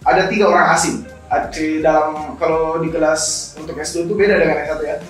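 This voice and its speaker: A man's voice: fairly high pitch, steady tone, fast. A young man, explaining things conversationally as an interview guest and often repeating his phrases. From Indonesia. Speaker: guest, scholarship alumnus. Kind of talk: informal talk show interview, storytelling. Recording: very echoey room, distant mic, music behind.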